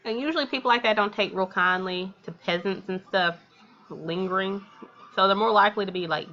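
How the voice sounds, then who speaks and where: A woman's voice: medium pitch, fairly quick, sharp tone. American woman gaming host, slightly dull mic. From Texas, United States. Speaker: let's play host. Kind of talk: visual novel read-aloud with casual commentary.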